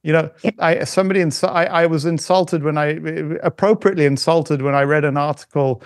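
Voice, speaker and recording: A middle-aged man chats as a podcast guest, his voice sitting a bit high. He talks in a halting way, circling back with restarts and fillers. The sound is dry and close. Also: steady tone, dry recording. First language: not German. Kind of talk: casual conversation.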